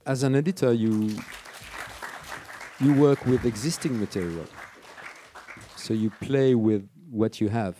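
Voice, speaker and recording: A middle-aged man, a film editor, speaking with searching, halting phrasing at a festival panel. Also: medium pitch, crowd noise, noisy background. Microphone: table microphone.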